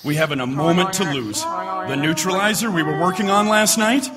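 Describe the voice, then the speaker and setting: A man's voice: sits high, animated, normal speed. An actor playing an anxious, melodramatic older scientist father in the style of 1940s radio drama. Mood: urgent. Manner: melodramatic, urgent. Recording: live stage, long hall reverb.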